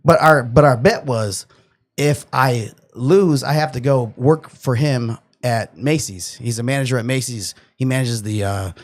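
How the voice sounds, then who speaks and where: A young man from New York telling a profane, animated story in a casual podcast chat. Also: mid-range voice, measured pace. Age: 20s.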